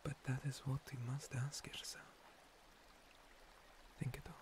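A man playing a gentle, long-lived monster cleric in a whispered ASMR roleplay, breathy, courteous and a little hesitant, with formal phrasing. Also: mid-range voice, flat delivery, normal speed, close to an everyday voice. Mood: calm, reflective.

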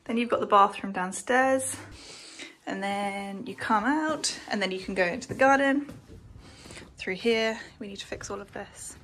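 A woman's voice: mid-range voice, unhurried, a bit bright, a little hiss or hum. A young woman, chatting candidly to her phone camera as she walks through a house and down a street. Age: young adult. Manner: chatty, candid, talks while walking around. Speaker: vlogger. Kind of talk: casual vlog narration. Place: empty house indoors, then outdoors on a street. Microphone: phone at arm's length.

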